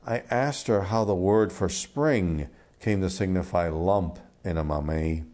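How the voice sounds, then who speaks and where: An older Cuban-born man who grew up in Miami, a poet, speaking in a thoughtful, deliberate way. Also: low voice, warm tone. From Cuba, came to the US as a child and grew up in Miami. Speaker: poet and art critic. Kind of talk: reflective interview talk and poetry reading.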